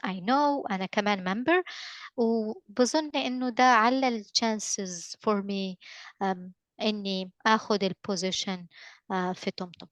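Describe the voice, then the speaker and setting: A young Moroccan woman whose first language is Arabic speaks on a video call, her voice smooth and resonant. She talks fast in bursts, stopping often on fillers and restarts. The sound is dry and close. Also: mid-range voice, no echo. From northern Morocco. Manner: hesitant, many fillers and restarts, friendly advice tone. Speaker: guest speaker from a mapping company. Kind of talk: webinar answer, mixing languages mid-sentence.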